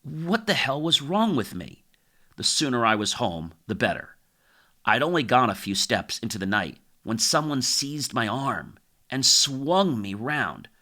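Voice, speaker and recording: Animated male audiobook narrator. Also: medium pitch, measured pace. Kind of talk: scripted first-person fiction narration, dramatic audiobook reading.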